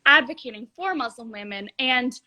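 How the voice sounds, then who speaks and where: A teenage girl from Egypt with a high, bright voice talks earnestly as a guest on a live video call. Her speech is fluent and builds in emphasis as she makes her points. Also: animated, moderate pace. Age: teens. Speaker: guest, youth activist.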